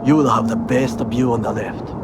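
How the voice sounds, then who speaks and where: An actor playing a stern, middle-aged Japanese former bodyguard in a video game, with a Japanese accent and formal, measured phrasing. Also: male voice, mid-range voice, fairly even intonation, noisy background, lightly acted. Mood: guarded, focused.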